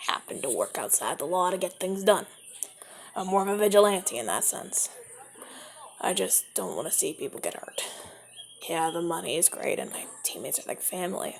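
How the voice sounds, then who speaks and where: A man voicing a suave young mafia enforcer in an ASMR audio roleplay, speaking softly, often in a whisper, and stammering now and then. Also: high-pitched, gravelly, a little hiss or hum, exaggerated voice. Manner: soft-spoken, often whispering, stammers when flustered.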